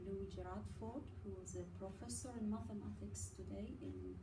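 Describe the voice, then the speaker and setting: A woman from Iraq giving a prepared academic presentation, halting often with fillers as she reads. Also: medium pitch, huge pitch swings. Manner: halting, many uh fillers, careful delivery. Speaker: student presenter. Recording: echoey room from a distance with noise.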